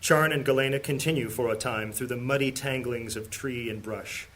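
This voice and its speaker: Male narrator, mid pitch, calm scripted storytelling. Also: moderate pace. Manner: steady, descriptive.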